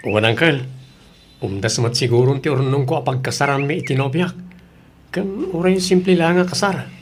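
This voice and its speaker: A man's voice: mid-range voice, normal speed, slight background noise, natural voice. A man playing a respectful, apologetic young bridegroom-to-be in an Ilocano audio drama, with a Filipino accent. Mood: hopeful. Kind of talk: radio drama acting.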